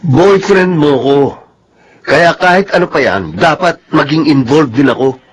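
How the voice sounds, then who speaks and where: Casting: pushy, fed-up young Filipino boyfriend, fairly high voice, radio soap, light noise. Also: male voice, expressive, a little slow. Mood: insistent, curious. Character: exasperated, insistent young boyfriend. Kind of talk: Filipino radio drama acting.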